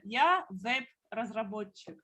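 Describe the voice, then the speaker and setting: Give me a patient woman teacher explaining words one by one, unhurried. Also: fairly high pitch, expressive, bright, forceful tone. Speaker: language teacher. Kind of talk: teaching a beginner class.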